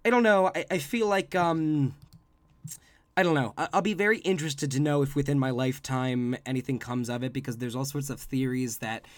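A man in his twenties co-hosting a podcast, loud and excitable in casual chat, swearing often. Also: mid-range voice, fairly quick, no echo. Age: 20s. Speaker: podcast co-host.

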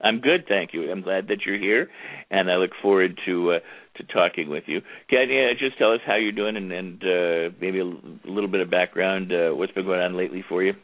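A man's voice: low voice, fairly quick, no echo. A man hosting an internet radio interview show, chatting in an easygoing way as he plugs the show and introduces his guest. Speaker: radio talk show host. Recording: tinny phone-like line, dry.

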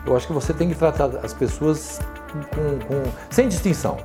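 A man in his sixties with a São Paulo accent, recalling his working life in an interview, talking slowly with many restarts and tag questions. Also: a little high, over music. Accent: São Paulo Portuguese. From São Paulo, Brazil. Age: 60s. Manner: unhurried, hesitant, frequent tag questions and restarts. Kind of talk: reflective life-story interview.

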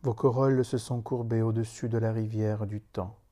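A man reciting a solemn poem in a grave, meditative manner, leaving long pauses between short phrases. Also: on the low side, little pitch movement, warm tone. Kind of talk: solemn spoken poetry recitation.

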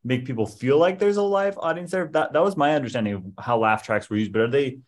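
A man's voice: mid-range voice, quick pace. A man co-hosting a podcast in casual chat, with a playful, joking manner. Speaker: podcast co-host.

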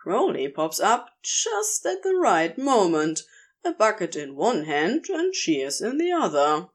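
Slow, very expressive woman storyteller. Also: mid-range voice. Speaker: narrator, amateur audio fiction reader. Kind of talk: expressive scripted story narration.

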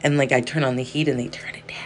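Hoarse chatty woman podcast host. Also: a bass voice, steady tone, very fast. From South Central Los Angeles. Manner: rambling, chatty, self-interrupting with laughs. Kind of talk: casual solo podcast monologue. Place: indoor room with space heater. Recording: faint background whirring noise.

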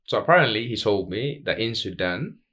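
A man with a fairly deep voice and a Tanzanian accent. He hosts a podcast as a casual chat, asking his questions in informal, slangy English. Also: expressive, a little fast, a bit bright. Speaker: podcast host.

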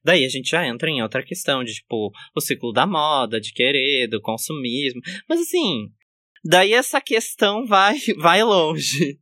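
A man hosting a casual chat, talkative with quick asides and easy laughter. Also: fairly high pitch, sing-song, sharp tone, dry recording. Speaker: podcast host.

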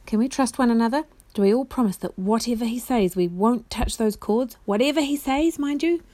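A woman's voice: fairly high pitch, animated, a little fast, dark tone, no room sound, natural voice. A gloomy old-storybook marsh creature, voiced by a woman reader. He speaks in glum, plain warnings, always wary and expecting the worst. The sound is close and dry. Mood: glum caution. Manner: glum, cautionary, plain-spoken. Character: gloomy, wary male marsh creature, cautious pessimistic guide.

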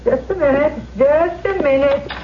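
A woman's voice: high-pitched, a little slow. An actress playing a cranky, defensive old woman with a querulous, indignant manner in a 1970s radio drama. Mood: irritated. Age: older. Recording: muffled, noisy 1970s radio recording.

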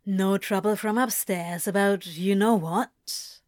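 A laid-back male demon, played by a woman, talks slowly in a medium-pitched voice. He is a teasing, coaxing tempter from a story, recorded close and dry. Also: a little mellow, dry recording, close to an everyday voice.

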